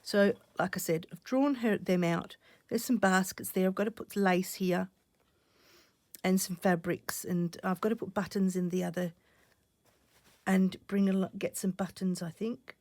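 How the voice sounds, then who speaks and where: A woman recovering from a cough, chatting casually while she stitches, often dropping to soft half-whispers as she thinks aloud. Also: medium pitch, fairly even intonation, a little slow, no room sound. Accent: Australian. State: croaky from a cough. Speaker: stitching channel host. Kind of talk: casual crafting vlog chat.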